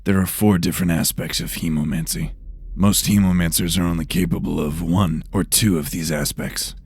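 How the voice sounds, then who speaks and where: A man's voice: low-pitched, steady tone, normal speed, creaky, a little mellow, husky, no echo, exaggerated voice. A man playing a brusque investigator of magical murders in a fantasy audio drama, blunt and demanding. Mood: grim, explanatory.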